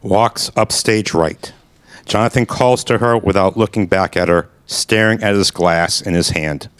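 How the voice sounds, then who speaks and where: Brief: plain, matter-of-fact male narrator, lowish voice, dry sound. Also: a little slow, clean tone, dry recording. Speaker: narrator reading stage directions. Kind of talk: scripted narration.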